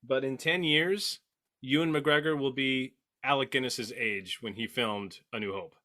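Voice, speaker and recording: A man's voice: mid-range voice, unhurried, pushed and bright. A man on a casual panel, speaking earnestly and repeating key words for emphasis. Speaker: podcast panelist. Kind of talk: casual chat.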